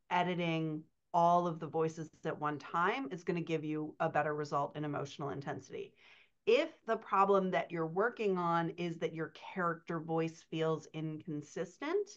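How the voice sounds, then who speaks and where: Middle-aged woman, lower register, coach answering questions conversationally with emphasis, slightly lo-fi call audio. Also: moderate pace. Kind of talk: conversational podcast Q&A, explanatory. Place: indoors on a video call. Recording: dull, muffled video-call audio.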